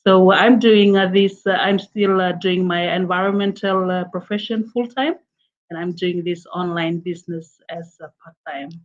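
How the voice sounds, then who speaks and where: A middle-aged woman with a Fijian accent, a guest in a casual interview, who speaks haltingly with many "uh" and "um" pauses. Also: lowish voice, fairly even intonation. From Fiji. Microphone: video call. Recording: dull lo-fi online call audio.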